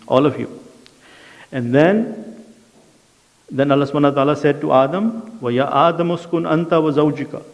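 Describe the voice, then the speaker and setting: Urdu-accented male teacher, mid pitch, recites and explains to a class; dull audio with long room echo. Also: a little mellow. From South Asia. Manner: recites Arabic then translates line by line, checks in with "okay? yes?". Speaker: Quran and Arabic teacher. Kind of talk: classroom lesson explaining verses. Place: mosque education centre classroom. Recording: dull sound, long hall reverb.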